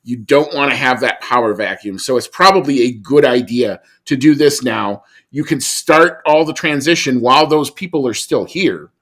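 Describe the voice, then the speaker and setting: A man's voice: mid-range voice, fairly bright tone. A man with a mid-range voice co-hosts a podcast in easygoing chat. He talks conversationally, often restarting his sentences and adding asides. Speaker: podcast co-host. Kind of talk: casual chat.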